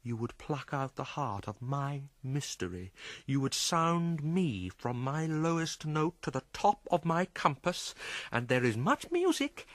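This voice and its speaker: An actor playing a brooding, volatile young prince feigning madness in a theatrical Shakespearean radio drama, with a husky, gravelly voice and intense delivery. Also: male voice, fairly high pitch, pushed and bright, dry recording, heavily acted. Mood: bitter, mocking. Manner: slow, intense, declamatory.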